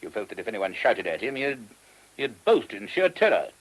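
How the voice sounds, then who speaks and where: An actor playing a courteous, earnest monastery abbot with a British accent and a hesitant, genteel manner in a radio play. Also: male voice, fairly low pitch, big pitch swings, moderate pace, low-quality recording. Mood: troubled, courteous. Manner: hesitant, genteel, old-fashioned English turns of phrase. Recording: old radio drama recording.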